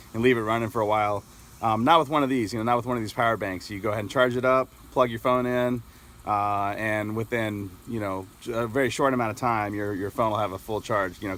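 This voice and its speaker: A man's voice: medium pitch, fairly bright tone. American man giving a casual product demo. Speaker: product reviewer. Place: outdoors in an open grassy yard with trees.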